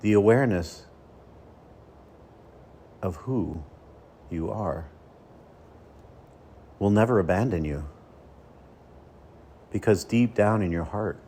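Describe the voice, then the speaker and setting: Low, mellow-voiced man guiding meditation. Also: slightly rough. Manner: slow, soothing, with deliberate pauses between phrases. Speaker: meditation coach. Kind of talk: guided meditation.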